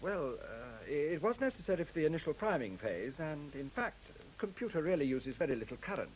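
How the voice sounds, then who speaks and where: A man's voice: a little high, big pitch swings, fairly quick, rough recording. An actor in a radio play voicing a fussy, eager male computer engineer who explains things politely and a little hesitantly. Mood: deferential. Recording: faint hiss on old radio recording.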